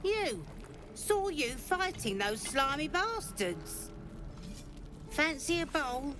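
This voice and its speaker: A woman's voice: sits high, very animated, slow, over music, heavily acted. A woman playing a blunt but kindly camp refugee in a video game, plain-spoken and urgent. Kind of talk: video game character acting.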